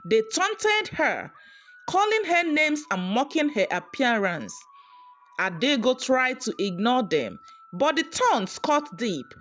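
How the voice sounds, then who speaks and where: A woman's voice: sits high, sing-song, slow pace, fairly bright tone, a little hiss or hum. A woman narrating a scripted folktale as a storyteller.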